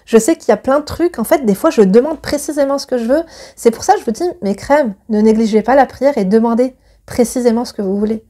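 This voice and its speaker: Chatty woman with a mellow voice. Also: fairly high pitch, fast talker. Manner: chatty, gushing, many fillers and restarts. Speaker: YouTuber reading and commenting on a viewer's letter. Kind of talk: casual chat and reading a letter aloud.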